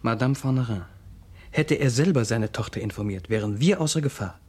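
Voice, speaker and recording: A man acting in a 1970s German radio play as a cautious, worldly-wise confidant, speaking formally and deliberately with grim pessimism. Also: mid-range voice, lively intonation, darkish tone, breathy edge. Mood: grave, warning.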